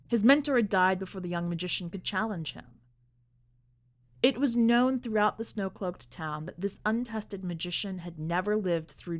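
A woman narrating a scripted literary short story in a measured, deliberate way, with dramatic pauses. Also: on the low side. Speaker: podcast host and story reader.

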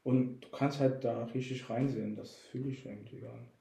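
A man's voice: mid-range voice, steady tone, fairly quick, vocal fry, mellow, echoey room. A young man co-hosting a casual podcast chat, laid-back and teasing with slangy talk. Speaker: podcast co-host.

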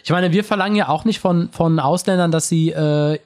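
A man's voice: fairly high pitch, brisk, darkish tone. A man co-hosting a podcast in casual chat, argumentative and teasing with the others. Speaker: podcast co-host.

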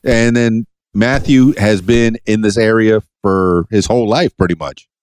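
A man's voice: fairly low pitch, fairly even intonation, unhurried, darkish tone, clear, resonant tone. A man from Miami hosting a podcast, asking rambling, playful questions with many stammers and restarts. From Miami, USA. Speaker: podcast host. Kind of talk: casual interview.

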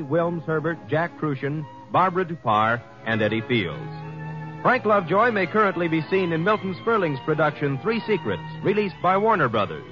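Vintage radio announcer, male, slightly high and lively, clear music bed behind, dull old recording. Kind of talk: 1950s radio closing announcement.

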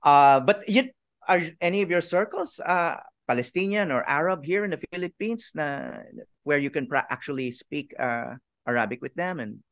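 A middle-aged man interviewing a guest, halting and restarting his phrases and mixing languages as he talks. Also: fairly high pitch, animated, a bit bright, no room sound. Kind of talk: casual conversational interview.

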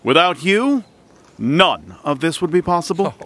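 A man's voice: a little high, big pitch swings, measured pace, very noisy. An actor playing a vain, condescending celebrity witch hunter in a comic audio drama, with a pompous, grand manner. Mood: smugly condescending. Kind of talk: audio theater comedy acting.

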